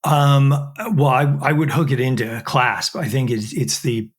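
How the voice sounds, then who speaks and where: A man's voice: mid-range voice, a little slow. A middle-aged man, a chemistry professor, explaining technical work in an interview with frequent fillers and restarts.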